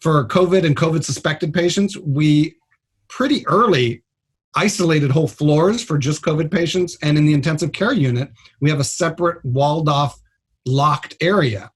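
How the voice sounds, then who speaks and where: Husky higher-voiced man, blunt doctor. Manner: plain-spoken, blunt, informal. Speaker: trauma surgeon, guest doctor. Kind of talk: explanatory radio interview.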